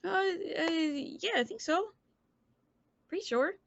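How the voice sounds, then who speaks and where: A woman playing a forgetful, earnest young vampire in a tabletop game, stammering and halting as she talks. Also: extremely high pitch, lively intonation, gravelly, a bit bright, highs cut off, voice pushed up, exaggerated voice.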